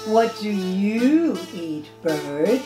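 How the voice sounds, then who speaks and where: A woman voicing a boastful male wide-mouthed frog in a storybook reading, proudly repeating his one boast, with soft guitar in an echoey room. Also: mid-range voice, animated, extremely slow, pitched below the performer's own voice. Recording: echoey room, heard from a distance.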